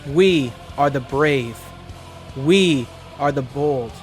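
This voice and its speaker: A man's voice: mid-range voice, very slow, faint music behind. A man playing a solemn rebel chronicler who longs for the surface, in grave, declamatory fantasy prologue narration. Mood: resolute.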